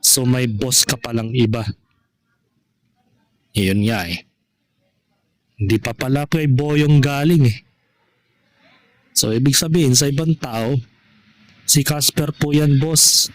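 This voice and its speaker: A man vlogging casual gossip commentary to his followers in a halting, repetitive way, often adding tag questions. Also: mid-range voice, no room sound.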